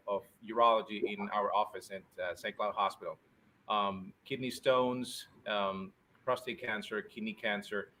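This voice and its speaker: A man from Spain, a urologist guest answering interview questions conversationally, somewhat halting and repeating words. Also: fairly low pitch, fairly bright tone. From born in Spain, Puerto Rican and Spanish family. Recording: faint background noise.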